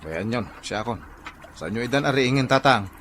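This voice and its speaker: A man's voice: medium pitch, animated, normal speed, background noise, natural voice. A man acting a good-natured, hardworking eldest brother in an Ilocano comedy radio drama, with an Ilocano accent. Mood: complaining.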